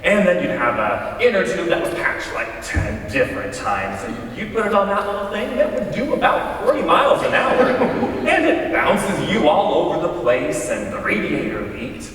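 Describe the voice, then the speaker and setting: A man performing folksy recollections on stage in a readers theater, with an animated manner. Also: a little high, normal speed. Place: large reverberant hall, live performance. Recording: distant mic, echoey hall, faint noise.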